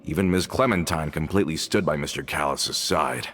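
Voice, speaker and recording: A loyal, practical guild member talks plainly in a game scene, sometimes stumbling over his words. His voice sits very low. A faint ambience hangs behind him. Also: fairly quick, fairly bright tone, a little hiss or hum. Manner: plain-spoken, slightly hesitant.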